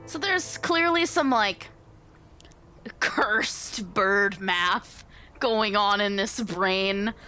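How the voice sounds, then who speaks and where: A woman's voice: mid-range voice, very slow, bright, forceful tone, soft background music. A woman chatting and improvising casually as a guest player in a tabletop game.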